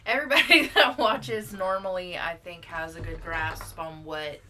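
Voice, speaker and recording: A woman's voice: lowish voice, moderate pace, bright, forceful tone. Woman podcast co-host over room noise. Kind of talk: casual bantering podcast chat.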